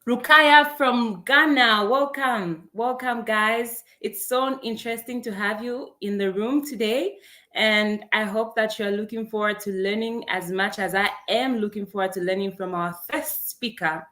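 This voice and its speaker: A woman's voice: fairly high pitch, unhurried, sharp tone. A young woman from Zambia hosting an online career event on a video call, upbeat and welcoming as she greets attendees by name. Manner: upbeat, welcoming, reads chat names aloud. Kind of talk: live webinar hosting.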